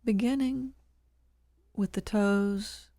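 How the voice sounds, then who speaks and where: A woman leading a guided body scan meditation, calm and measured, leaving long pauses between short phrases. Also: medium pitch, no room sound.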